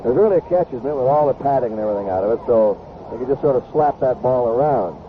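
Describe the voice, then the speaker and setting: A man's voice: medium pitch, expressive, quick pace, phone-quality sound. A man calling a baseball game live as a play-by-play announcer on an old radio broadcast. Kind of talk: live sports commentary. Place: ballpark broadcast booth. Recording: noisy band-limited vintage radio broadcast. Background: loud ambient ballpark noise.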